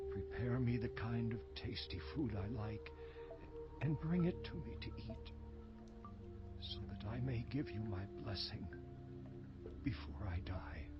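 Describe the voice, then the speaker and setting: An actor playing an old, dying, blind father in a dramatised audio Bible, speaking slowly and solemnly. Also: lowish voice, close to an everyday voice. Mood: weary, solemn. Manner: slow, solemn, deliberate delivery. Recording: muffled audio under loud meditation music.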